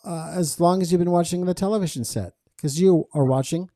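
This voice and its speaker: A man's voice: high-pitched, a little fast, dark tone, no echo, studio quality. A man hosting a conversational podcast, speaking earnestly about faith and posing rhetorical questions as he goes. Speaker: podcast host and interviewer. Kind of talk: conversational podcast interview with religious commentary.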